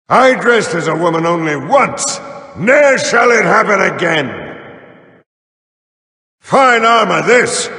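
An actor playing a mighty, boastful Norse thunder god warrior in a video game, booming and theatrical, with old-fashioned heroic phrasing. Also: male voice, gravelly, sharp tone, roomy sound, long reverb tail, full character voice. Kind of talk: video game character voice lines.

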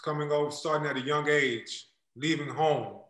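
A man co-hosting a podcast over a video call, asking questions in a chatty, relaxed way. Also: fairly high pitch, normal speed, reverberant. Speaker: podcast co-host. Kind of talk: casual interview questions. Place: indoor room. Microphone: video call webcam mic.

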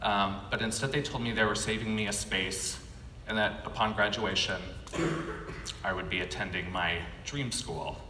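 An American man in his thirties giving a prepared autobiographical keynote speech, telling his story in measured short phrases with pauses. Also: on the low side. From California, United States. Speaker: keynote speaker, animation artist. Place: conference stage at a podium, indoors. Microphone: podium gooseneck microphone. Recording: echoey hall PA, faint background noise.

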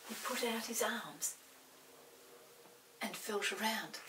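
A woman in her sixties narrating a children's story expressively, in a dramatic, deliberate manner. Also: on the high side, bright, forceful tone, recorded from a distance, a little hiss or hum, poor audio. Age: 60s. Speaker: storybook reader. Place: small indoor set with dark backdrop.

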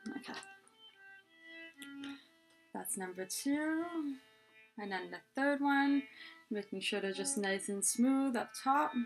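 A woman's voice: on the high side, expressive, slow, pauses often, soft background music, rough recording. A young woman talking casually and chattily through a hands-on tutorial, explaining step by step as its host. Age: young adult. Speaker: head scarf tutorial host. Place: small room indoors, plain wall.

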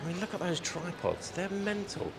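A man's voice: sits high, sing-song, normal speed, creaky. A man in his thirties chatting enthusiastically and jokily in a travel vlog on a busy city street. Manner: enthusiastic, jokey. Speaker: travel vlogger. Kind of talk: casual travel vlog chat. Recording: loud street traffic behind. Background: traffic noise.

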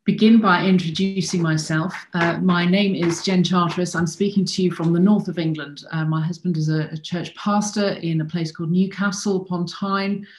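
A woman's voice: fairly low pitch, fairly even intonation, normal speed, hardly any pauses, a little hiss or hum. A woman in her fifties from South Africa giving an online conference talk from home over a webcam, hesitant with many fillers and repeated words. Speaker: conference speaker. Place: home room with shelves. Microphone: video call webcam. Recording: echoey room sound.

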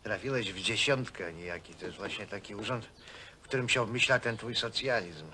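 Brash male labourer: forceful bright voice, mocking, colloquial, old soundtrack with faint noise. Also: fairly low pitch, fairly quick. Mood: needling. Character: brash, teasing construction worker living in a workers' hostel. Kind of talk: naturalistic film acting.

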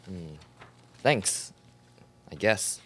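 A woman's voice: very deep voice, expressive, drawn-out words, breathy edge, full character voice. A woman playing an awkward, polite, bewildered young bachelor in a radio play, hesitant and stammering.

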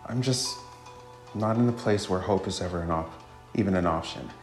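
Man playing a bitter, despairing husband, low voice. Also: normal speed. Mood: despairing, resigned. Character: despairing husband robbed of his wife, bitter and doubtful. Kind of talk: amateur visual novel voice acting. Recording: roomy with faint music.

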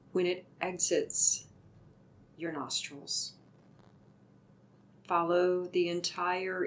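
A woman leading a guided meditation with soothing, deliberate, gently instructive delivery. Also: on the low side.

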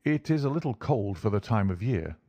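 A man voicing a calm, courteous gentleman detective with a bland, imperturbable and dryly amused manner in a storybook reading. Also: lowish voice, brisk, no room sound. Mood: bland, unruffled.

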